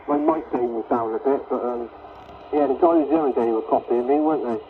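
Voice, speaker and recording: A man's voice: medium pitch, brisk. A man chatting casually as a caller over a CB radio transmission. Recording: tinny narrow CB radio, clear noise.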